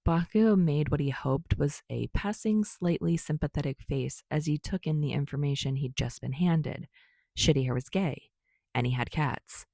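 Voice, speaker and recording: A woman's voice: low-pitched, moderate pace, warm tone, no room sound. A woman reading a story aloud as a scripted narrator. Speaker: fan fiction narrator.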